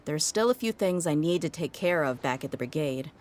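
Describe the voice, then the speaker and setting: A woman's voice: low-pitched, animated, fairly quick, a little mellow, a little hiss or hum. A woman playing a tough, easygoing desert mercenary in an anime game, speaking in a casual, frank, reassuring way. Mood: apologetic.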